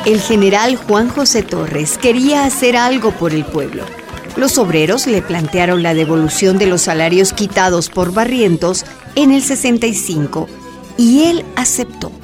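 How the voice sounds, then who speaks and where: A woman's voice: mid-range voice, lively intonation, normal speed, music in the background. A woman reading scripted narration for a historical radio drama.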